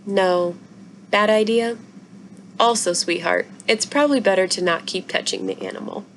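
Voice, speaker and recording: A woman's voice: medium pitch, fairly even intonation, normal speed, slight background noise, close to an everyday voice. A woman voicing an anxious, coaxing mother in a storybook reading. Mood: gently coaxing.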